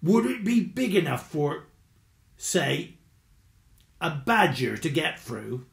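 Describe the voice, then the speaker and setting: A man's voice: fairly high pitch, sing-song, takes it slowly, gravelly, roomy sound, full character voice. A man voicing an exasperated male badger leader, patient and strained.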